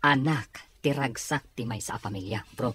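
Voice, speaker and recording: A woman's voice: extremely low pitch, moderate pace, fairly bright tone, breathy edge. A woman with an Ilocano accent announcing a radio drama in a dramatic, scripted style.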